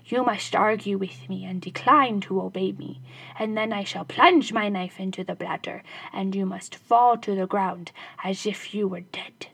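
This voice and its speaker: A clever little shoemaker, sly and pleading by turns, as a woman reading a fairy tale puts him on. His voice is gravelly and husky, close and dry. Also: mid-range voice, moderate pace, fairly bright tone, no room sound. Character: crafty little male shoemaker, clever and spirited.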